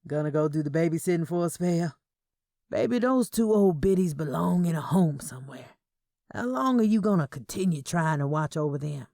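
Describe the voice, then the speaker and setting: A woman's voice: sits low, lively intonation, moderate pace, gravelly, mellow, no room sound, clean studio sound. A woman voicing an easygoing, teasing husband in an audio story.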